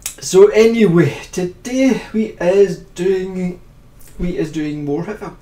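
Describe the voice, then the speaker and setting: A man's voice: high voice, takes it slowly, warm tone, reverberant, far from the mic. A man with a stuffed-up nose from a cold, chatting in a jokey, self-deprecating way while hosting a casual editing tutorial stream.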